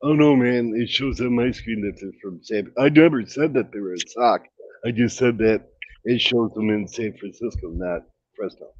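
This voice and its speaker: A man joining a livestream as a guest, explaining things in a hesitant, halting way. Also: fairly low pitch, no room sound. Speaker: stream guest. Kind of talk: casual livestream chat. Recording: dry, dull lo-fi call audio.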